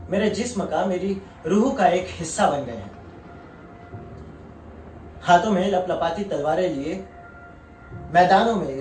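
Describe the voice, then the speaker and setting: A male actor playing a proud, merciless war-veteran colonel in a stage monologue, grave and deliberate, drawing out key phrases for emphasis. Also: high voice, darkish tone. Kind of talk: dramatic theatrical Hindi monologue. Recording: echoey room, distant mic, faint music.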